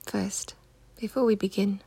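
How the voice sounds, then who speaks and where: A woman leading a guided meditation in a soft, husky, near-whispered voice, giving gentle, unhurried, soothing instructions. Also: medium pitch, fairly even intonation, no room sound.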